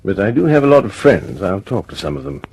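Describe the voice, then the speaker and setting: An actor playing a sympathetic, wry senior British air officer, a measured and reassuring man, in a radio drama. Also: low-pitched, very animated, vocal fry, a little mellow, slightly rough, full character voice. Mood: measured, cautious.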